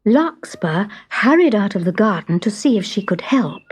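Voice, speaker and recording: A woman reading a children's story aloud in an expressive, measured storytelling manner. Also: medium pitch, breathy edge. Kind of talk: scripted children's story narration.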